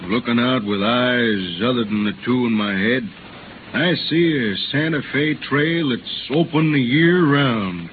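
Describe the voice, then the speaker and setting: A man's voice: mid-range voice, animated, a little slow, close to an everyday voice. An actor playing a tough, plain-spoken frontiersman in a 1930s radio drama, with folksy Old West speech. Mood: humble, reflective. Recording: noisy, tinny 1930s radio recording.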